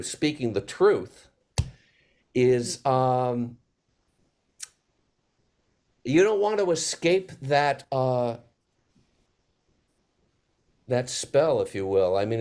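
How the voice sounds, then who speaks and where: A man's voice: medium pitch, darkish tone. Man, theatre panel guest, emphatic, repetitive phrasing, conversational. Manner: emphatic, repeats phrases for effect.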